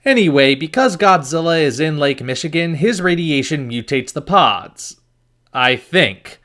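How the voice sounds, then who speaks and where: Higher-pitched man narrating a wry review. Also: animated, measured pace, fairly bright tone. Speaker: reviewer, show host.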